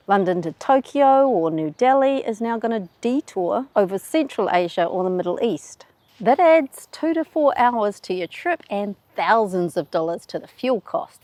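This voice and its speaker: A woman with a New Zealand accent hosting a travel explainer in a brisk, wry, plainspoken way. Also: mid-range voice, darkish tone, dry recording. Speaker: travel video host. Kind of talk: scripted explainer.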